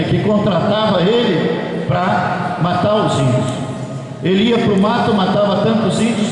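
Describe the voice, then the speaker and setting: A man's voice: sits high, a little mellow. A man with a southern Brazilian accent gives an informal history lecture to school students, in a colloquial storytelling manner. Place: large echoey room at a school. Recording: echoey hall from far off, background noise.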